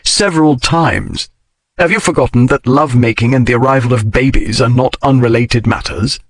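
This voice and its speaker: A man voicing a wry, devoted aristocratic gentleman in an audiobook, with understated, formal speech. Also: mid-range voice, moderate pace, no room sound, lightly acted. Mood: firm. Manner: dry, understated period speech. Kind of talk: audiobook character reading.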